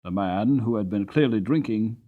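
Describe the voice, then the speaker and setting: A man reading a classic story aloud as a narrator, in an expressive, dramatic storytelling style. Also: lowish voice, normal speed, phone-quality sound. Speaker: audiobook narrator.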